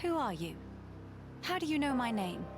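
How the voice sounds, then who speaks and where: A woman's voice: fairly high pitch, big pitch swings, background noise. A woman voicing a dignified young empress in a video game, formal, composed and stern. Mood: stern, imperious. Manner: formal, regal, measured.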